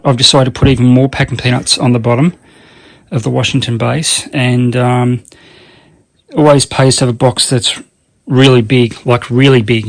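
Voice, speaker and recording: A man presenting a casual how-to demonstration in a rambling, colloquial way, often repeating himself for emphasis. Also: medium pitch. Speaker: hobbyist video presenter.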